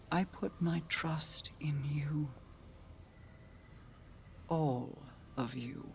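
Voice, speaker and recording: A woman playing a guarded, regal queen and protective older sister in a video game, speaking formally in measured, hushed phrases. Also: deep voice, creaky, slight background noise, exaggerated voice. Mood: grave, reluctant. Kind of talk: dramatic game dialogue.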